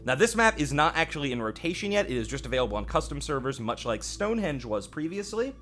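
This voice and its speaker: A man's voice: on the high side, big pitch swings, fast talker, fairly bright tone, a little hiss or hum. A man giving casual, conversational commentary on a game update as a host, offering his own opinions with some self-deprecation. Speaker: gaming channel host.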